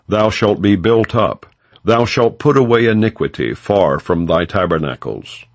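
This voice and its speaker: Low-voiced man reading scripture, slow and expressive. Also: no room sound. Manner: solemn, measured, formal. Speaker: audiobook narrator. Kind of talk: scripted scripture narration, non-dramatized.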